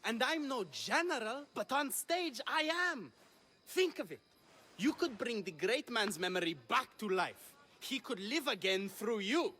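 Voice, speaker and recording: High-pitched, pompous, flamboyant theatre director. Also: male voice, animated, unhurried, bright, forceful tone, exaggerated voice. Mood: theatrical persuading. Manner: grandiose, declaiming, florid. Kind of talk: video game cutscene acting.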